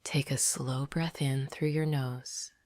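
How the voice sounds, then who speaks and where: A woman narrating a scripted sleep meditation in a soft, breathy near-whisper, gentle and deliberate. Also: rumbling low, level tone, dry recording, clean studio sound.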